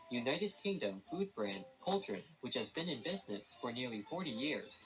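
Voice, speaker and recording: A man's voice: mid-range voice, muffled recording, background noise. A man presenting scripted news narration over a shortwave radio broadcast.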